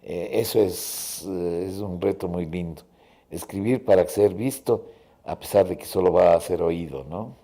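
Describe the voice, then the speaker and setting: Man, very deep voice, unhurried and deliberate, reflecting on craft in an interview. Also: warm tone. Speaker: theatre actor and scriptwriter.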